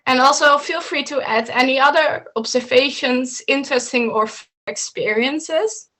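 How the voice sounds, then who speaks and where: Higher-pitched woman coaching over a video call. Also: moderate pace. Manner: steady, instructive, with a few slips and restarts. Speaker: coach presenting to students. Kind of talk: explaining slides in an online meeting. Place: home room with bookshelves, indoors.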